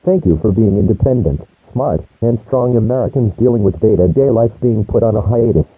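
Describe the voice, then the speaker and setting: A man's voice: fairly low pitch, brisk, slightly rough, no room sound. A man playing a solemn government announcer, formally reading out a scripted emergency broadcast. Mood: solemn, official. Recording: muffled phone-like emergency broadcast audio.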